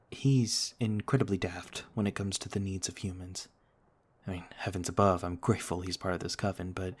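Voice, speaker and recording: A man playing a gentle, ancient noble vampire in an ASMR roleplay, speaking in a courtly, soothing way. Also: deep voice, slightly rough. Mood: gently reassuring. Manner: courtly, formal, soothing, reassuring. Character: gentle noble male vampire, over a thousand years old, looks thirty-two.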